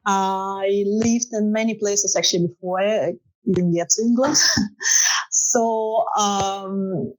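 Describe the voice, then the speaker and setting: A woman's voice: mid-range voice, fairly even intonation, takes it slowly, low-quality recording. Russian-accented woman, hesitant and laughing. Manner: hesitant with many fillers, laughs often. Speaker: photographer guest. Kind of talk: casual interview answers.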